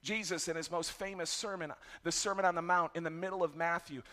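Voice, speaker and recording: A middle-aged man preaching a sermon as pastor, his voice raspy from yelling, candid and self-deprecating about his family struggles. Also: a little high, fairly even intonation, brisk.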